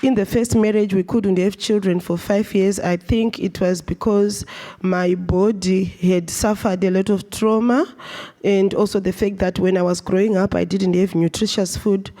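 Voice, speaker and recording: A woman's voice: mid-range voice, fairly even intonation, moderate pace, dark tone. A woman from Zimbabwe giving an earnest personal talk on stage at a live event, often restarting her sentences. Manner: earnest, with frequent restarts and fillers. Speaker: speaker at a live storytelling event.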